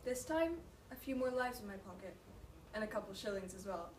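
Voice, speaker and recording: A woman performing an ambitious army lieutenant in a deliberate, declamatory slam poetry recital. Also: mid-range voice, expressive, close to an everyday voice. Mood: smug. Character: ambitious, self-assured army lieutenant, later haunted. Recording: noisy, echoey room, mic far off.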